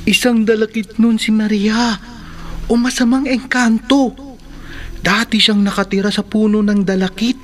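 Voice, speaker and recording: A woman's voice: medium pitch, fairly even intonation, moderate pace, lightly acted. A woman playing a brave, knowing boy who guides others in a horror radio drama, earnest and assured. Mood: grave. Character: brave, knowing youth Mark, protective guide against spirits.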